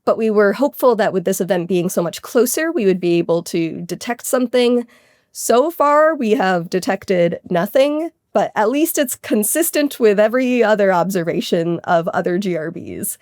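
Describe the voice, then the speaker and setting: A woman's voice: medium pitch, animated, moderate pace, a little mellow, dry recording, clean studio sound. A woman astronomer being interviewed, explaining technical science in a casual, conversational way peppered with "like".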